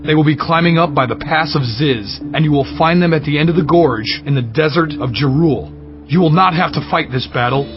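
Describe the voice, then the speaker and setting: An actor as a proclaiming, higher-pitched biblical herald. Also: male voice, muffled recording, close to an everyday voice. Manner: proclaiming, emphatic. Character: urgent, commanding Levite prophet and herald of ancient Judah. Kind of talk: dramatized audiobook acting.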